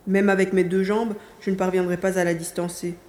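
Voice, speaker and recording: A woman's voice: fairly low pitch, flat delivery, brisk, warm tone, natural voice. A woman playing an eager, stubborn young boy in a dramatised audiobook, speaking expressively and earnestly. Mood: anxious. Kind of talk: dramatised audiobook, first-person narration and dialogue.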